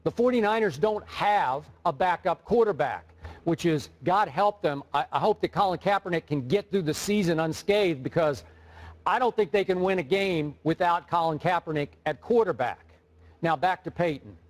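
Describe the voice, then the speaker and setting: A man's voice: on the high side, normal speed. A man arguing his opinion on a studio sports debate show, emphatic and repeating words as he presses his point.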